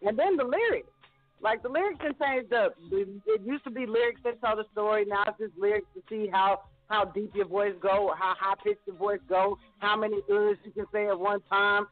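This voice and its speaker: A man's voice: high-pitched, normal speed, dry recording, soft background music. A man co-hosting a casual radio show, talking bluntly and profanely in ranting bursts. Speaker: radio co-host. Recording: tinny phone-line sound.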